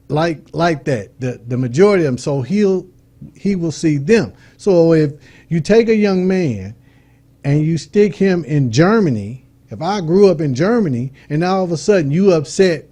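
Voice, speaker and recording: A middle-aged man, his voice sitting a little high but soft and mellow. He speaks informally and earnestly as a talk-show guest and builds his points with homely examples. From Detroit, USA. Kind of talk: casual talk-show conversation.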